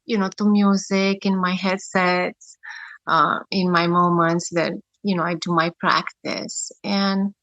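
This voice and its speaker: Woman, 30s, podcast guest; slow, reflective storytelling with frequent pauses, bright tone. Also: mid-range voice, no room sound, muffled recording. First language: Romanian. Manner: reflective, with many pauses and fillers. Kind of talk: personal storytelling.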